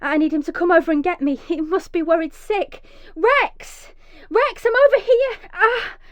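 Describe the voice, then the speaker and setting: An actress playing a frightened, injured young mother in a radio drama, emotional and swinging from hushed dread to pleading. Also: extremely high pitch, normal speed, dry recording. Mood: panicked disbelief, then screaming for help. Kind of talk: radio drama monologue with acted dialogue.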